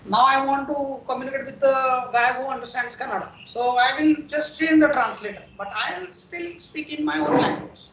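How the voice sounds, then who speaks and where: An instructor from India, patiently explaining software testing in a recorded lecture and often checking in with "okay" and "right". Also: sits high, moderate pace, few pauses. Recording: roomy echo, faint background noise.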